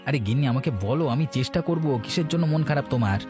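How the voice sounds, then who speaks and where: An actor voicing a meek, hard-up peddler husband in a cartoon, placating and eager to please. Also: male voice, on the high side, fast, a little mellow, faint music behind, natural voice. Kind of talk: Bengali cartoon voice acting.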